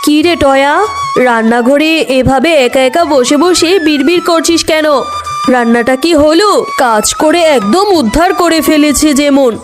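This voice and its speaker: High-pitched, scolding cartoon aunt played by a woman. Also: moderate pace, over music, lightly acted. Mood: angry, threatening. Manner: nagging, sharp-tongued. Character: harsh, scolding aunt who bullies her orphan niece. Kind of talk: cartoon voice acting.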